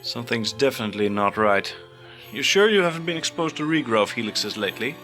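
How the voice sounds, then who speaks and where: A scaly biomancer scientist, played by a man, speaks in a brisk, clinical and suspicious way. His voice is middle in pitch, with faint music under it in a fantasy audio drama. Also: lively intonation, fairly bright tone, lightly acted. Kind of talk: audio fiction character acting.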